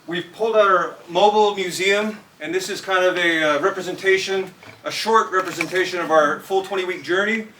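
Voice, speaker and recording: A man from California presents a student project to a live audience, talking straight to them. His voice is bright and a little high. He stands off the mic, with faint noise in the room. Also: brisk, far from the mic, low-quality recording.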